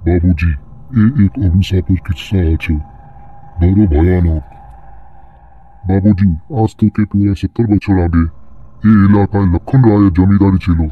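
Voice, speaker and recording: A man voicing an old male servant, gravely telling an eerie tale in a cartoon horror story. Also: low-pitched, fairly even intonation, measured pace, long pauses, phone-quality sound. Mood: grave, ominous. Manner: grave, storytelling, Hindi-mixed Bengali wording.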